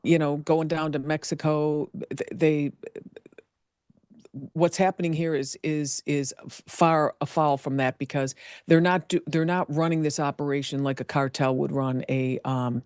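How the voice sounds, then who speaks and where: A man speaking as an interview guest, explaining in a halting way with many restarts. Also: a little high, little pitch movement, darkish tone, clear, resonant tone, no room sound, clean studio sound. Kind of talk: interview commentary.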